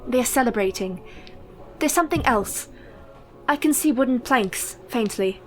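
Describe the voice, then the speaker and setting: An actress playing a brave, entranced Victorian wife under a curse in a radio play, speaking in a formal, measured way. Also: female voice, on the high side, animated, natural voice, poor audio. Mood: hushed, searching.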